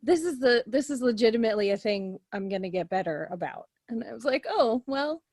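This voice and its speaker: A woman co-hosting a podcast, chatting casually in an animated, giggly way. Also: a little high, a little fast, no echo. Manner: giggly, animated anecdote telling. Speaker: podcast co-host. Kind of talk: casual chat.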